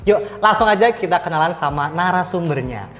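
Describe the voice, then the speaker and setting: A man's voice: high voice, animated, fairly quick, a bit bright. An Indonesian man hosting a casual interview in a chatty way, with youthful slang and bits of English. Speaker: talk show host, interviewer. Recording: reverberant hall sound, faint music.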